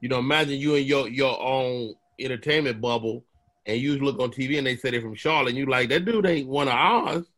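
Bright-voiced man, animated storyteller. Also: mid-range voice, fairly quick. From Chicago. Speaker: comedian. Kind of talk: casual conversation.

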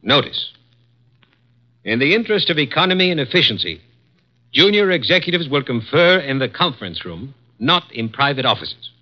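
An actor playing a cruel sneering ad executive. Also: male voice, medium pitch, big pitch swings, normal speed, close to an everyday voice. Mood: dictating. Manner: sneering, cold, mocking. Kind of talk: 1950s radio drama acting. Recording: dull old radio broadcast.

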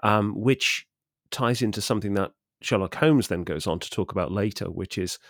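Man, podcast host, fairly low voice, wry, discursive, conversational literary talk, dry recording. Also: moderate pace, fairly bright tone, dry recording.

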